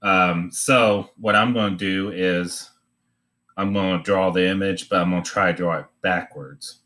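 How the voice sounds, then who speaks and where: A man giving an informal, unscripted drawing demonstration, thinking aloud in a halting way as he teaches. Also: deep voice, steady tone, sharp tone.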